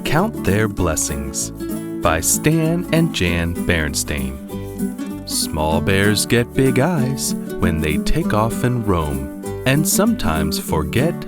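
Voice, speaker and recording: Deep-voiced man slowly reading a storybook. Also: sing-song, loud music behind the voice. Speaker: read-along narrator. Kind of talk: scripted children's storybook narration.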